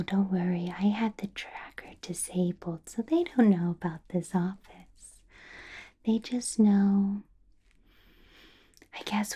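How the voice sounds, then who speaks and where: A woman playing a centuries-old vampire boss in a whispered ASMR roleplay, teasing and menacing, with deliberate pauses and a falsely pleasant manner. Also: mid-range voice, mellow. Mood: coolly knowing. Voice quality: breathy whisper.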